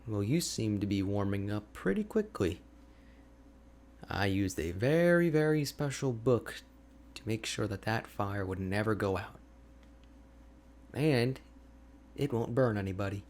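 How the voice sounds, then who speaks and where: A man playing a slow-paced, boastful ice mage. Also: lowish voice, animated, darkish tone. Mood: chatty, opinionated. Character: energetic, boastful, chatty male ice mage hermit. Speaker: audio roleplay voice actor. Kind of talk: ASMR character roleplay.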